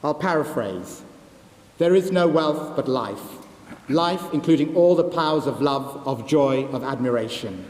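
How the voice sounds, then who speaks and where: A man whose first language is Gujarati, a senior academic delivering a formal, scripted graduation address in measured, oratorical phrases in a large hall. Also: medium pitch, lively intonation, darkish tone. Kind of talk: formal ceremonial speech, scripted. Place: large ceremonial university hall. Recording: echoey large hall, long reverb.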